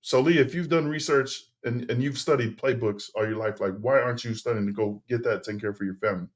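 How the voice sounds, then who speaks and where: A man's voice: mid-range voice, fast talker. A middle-aged man whose first language is Tongan, telling his story as a podcast guest in a loose, rambling way with plenty of fillers and restarts. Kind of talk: casual interview storytelling.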